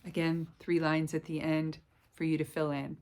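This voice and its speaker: Woman, forties, lower pitch, soothing and affirming, self-help talk, home living room, close camera mic. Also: little pitch movement, a little mellow. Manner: slow, soothing, affirming delivery. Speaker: wellness coach.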